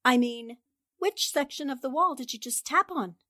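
A woman acting a sharp, confident young newspaperwoman in a 1940s-style radio drama, crisp and assured as she reasons things out. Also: sits high, moderate pace. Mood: assured, excited. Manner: crisp, assured, explaining deductions.

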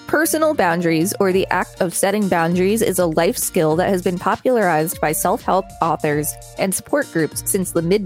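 Lower-voiced woman co-hosting a podcast. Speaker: podcast co-host. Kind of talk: reading an encyclopedia page aloud with casual commentary.

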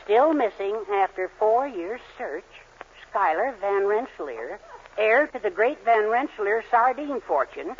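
A man's voice: high-pitched, animated, unhurried. An actor playing a meek, dryly sarcastic middle-aged father in a 1940s radio sitcom. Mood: dry henpecked. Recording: dull 1940s radio broadcast recording with faint hiss.

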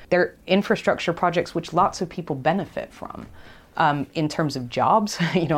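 A woman speaking as an academic guest in a conversational interview, explaining things in a hesitant, thinking-aloud way. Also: sits low. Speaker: academic expert guest, archaeologist.

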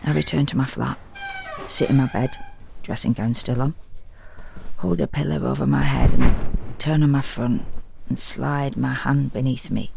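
Low female voice, gravelly creak, fretful, worn-out narrator, intimate and wry, audio drama monologue. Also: moderate pace, long pauses, noisy background, heavily acted. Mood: agitated, watchful. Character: exhausted, fretful, confessional woman homeowner narrating her unease. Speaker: narrator and actor.

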